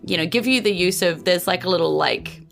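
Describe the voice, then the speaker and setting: Woman, game master, low pitch, gravelly fry, conversational improvised narration. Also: brisk, fairly bright tone, faint music behind. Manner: casual, conversational.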